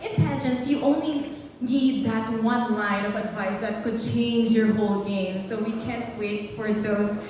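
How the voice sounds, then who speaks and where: A woman with a fairly high voice moderates a panel in an upbeat, chatty way. She is heard from far off in a big echoey hall with a long reverb. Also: a little slow, darkish tone, slight background noise. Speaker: press conference moderator.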